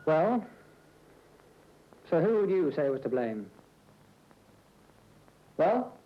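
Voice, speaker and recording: A man's voice: on the high side, sing-song, drawn-out words, full character voice. An actor playing a stern, probing army officer questioning his men in a 1970s training film. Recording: noisy, muffled old training film soundtrack.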